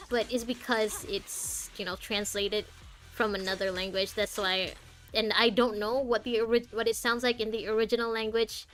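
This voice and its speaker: A woman's voice: a little high, fairly bright tone. A woman giving casual live playthrough commentary, thinking aloud in a wry, opinionated way. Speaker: game streamer. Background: game dialogue and music.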